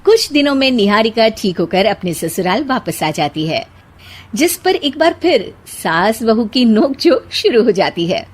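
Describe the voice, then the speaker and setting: A woman narrating a cartoon story in a steady, matter-of-fact scripted style. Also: on the high side, unhurried, slightly rough.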